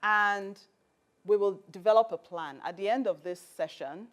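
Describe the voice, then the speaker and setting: A woman's voice: medium pitch, measured pace. A woman hosting and teaching a personal-growth workshop, earnest and instructive, laying out her points one by one. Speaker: mentor and program host.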